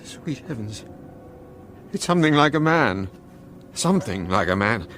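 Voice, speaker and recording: A man's voice: mid-range voice, very animated, measured pace, a bit bright, a little hiss or hum. An actor playing a fragile, frightened man haunted by evil in a radio horror play, agitated and faltering. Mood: panicked horror. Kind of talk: British radio horror drama acting.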